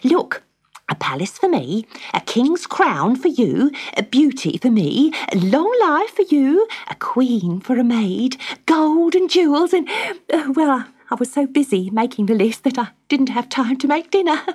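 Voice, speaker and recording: A woman voicing Magda, an excitable, bossy wife who squeals and scolds dramatically. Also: high voice, measured pace, breathy edge, pitched above the performer's own voice, full character voice. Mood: eager.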